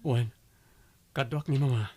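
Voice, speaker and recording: A man's voice: mid-range voice, a little slow, a little mellow, lightly acted. A man playing a remorseful young ex-boyfriend in a radio drama, speaking earnestly with an Ilocano Filipino accent. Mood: resigned, polite. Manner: earnest, pleading.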